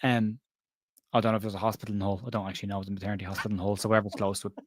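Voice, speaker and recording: A man's voice: fairly low pitch, level tone, very fast, dry recording. An Irish man from Ireland hosting a casual football chat over a live stream, rambling and chatty, often interrupting himself. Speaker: podcast host. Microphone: remote stream call.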